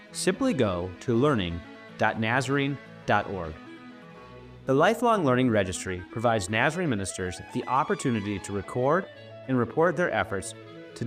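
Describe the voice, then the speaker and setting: A man delivers a scripted announcement. His fairly low voice moves through lively inflections, with soft guitar music playing behind him.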